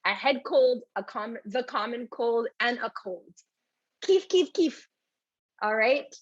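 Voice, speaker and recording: A woman teaches a live online English class, chatting casually and answering questions from the chat as they come in. Her voice sits a little high and has a husky edge. The sound is dry and somewhat dull, like a home computer mic on a stream. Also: animated, takes it slowly, dry recording.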